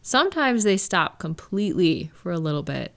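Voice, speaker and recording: Gentle, lower-pitched woman, reassuring partner acted. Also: very animated, gravelly, full character voice. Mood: thoughtful, gentle. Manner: gentle, hesitant, reassuring, thoughtful pauses. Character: caring, reassuring live-in partner, adult woman. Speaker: audio roleplay performer. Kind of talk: intimate one-sided comfort roleplay, conversational acting.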